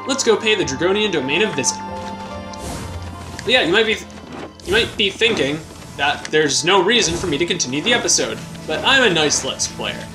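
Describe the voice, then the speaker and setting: Chatty male let's player. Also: high voice. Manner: chatty, joking asides. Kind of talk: gameplay commentary. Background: game music.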